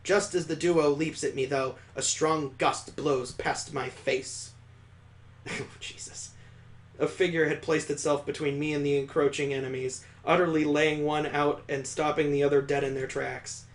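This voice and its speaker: Male let's-play host, lightish higher pitch, dramatic story reading with incredulous joking asides. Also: steady tone, moderate pace. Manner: dramatic narration broken by amused, incredulous commentary. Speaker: gaming channel host doing a let's play. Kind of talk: reading visual novel text aloud with joking asides.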